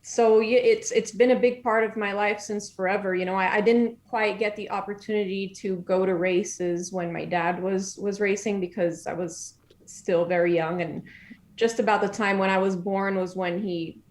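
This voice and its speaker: A woman's voice: mid-range voice, steady tone, normal speed, a little mellow. A woman in her twenties, born in Germany and raised in France and Brazil, chatting as a podcast guest and laughing easily. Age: 20s. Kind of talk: casual interview chat.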